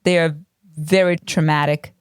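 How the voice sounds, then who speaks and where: A woman's voice: low-pitched, fairly even intonation, moderate pace, clear, resonant tone, dry recording, clean studio sound. A woman from Russia with a Russian accent, co-hosting a casual book podcast and talking hesitantly, with many ums and restarts. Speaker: podcast co-host. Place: home room with a cat.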